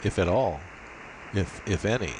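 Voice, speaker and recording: A low-pitched man talks through a technical demo in an informal, halting way, as if working it out aloud. The recording carries a clear, steady hiss from a cheap built-in laptop microphone. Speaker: amateur radio operator giving a tech demo. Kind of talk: informal screen demo walkthrough.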